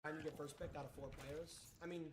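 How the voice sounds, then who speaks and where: A man's voice: fairly high pitch, steady tone, quick pace. A man chatting casually while gaming, tossing out callouts and trash talk, with game gunfire behind him.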